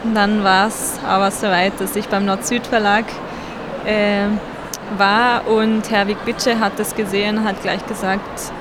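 A young woman from El Salvador speaking, answering interview questions about her illustrating in a soft, hesitant way. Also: mid-range voice, flat delivery, a little slow. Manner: soft-spoken, hesitant with fillers. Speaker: book illustrator guest. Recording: street noise behind.

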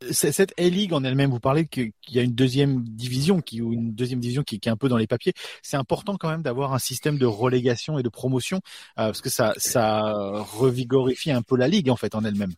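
A man's voice: mid-range voice, fast, darkish tone, breathy edge, no echo. Dry-sounding male radio host. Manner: searching for words, repeats himself. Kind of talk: interview questions.

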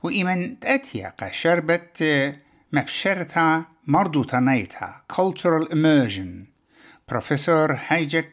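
Male presenter for a scripted radio feature, fast-paced, mid-pitched delivery. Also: fairly bright tone.

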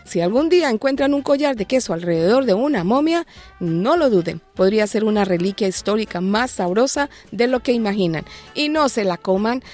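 A woman's voice: on the high side, a little mellow. A woman hosting a light news segment, reading scripted stories with playful, joking asides. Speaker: news show host. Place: lives in Australia.

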